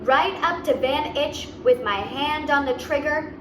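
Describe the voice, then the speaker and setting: A woman reads a picture book to children in a fairly high voice. Her delivery is expressive and very unhurried, with simple explanations. She sounds a little distant from the microphone. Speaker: story reader and host. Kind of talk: children's read-aloud storytelling with asides. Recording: roomy, distant mic, clear background noise.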